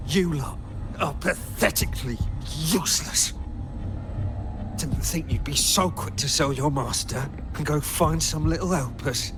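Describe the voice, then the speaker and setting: Casting: gruff British thug for a video game, sneering and taunting, slow drawl over loud music. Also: male voice, fairly high pitch. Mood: sneering, menacing. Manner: coarse, taunting, drawn-out delivery. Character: gruff thuggish male henchman, sneering and bullying.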